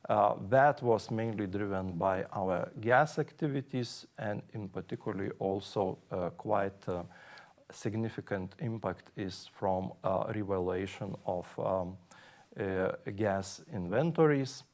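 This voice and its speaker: A man presents company results on an earnings call. His delivery is halting, broken by frequent pauses and hesitations. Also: on the low side, darkish tone. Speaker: company executive.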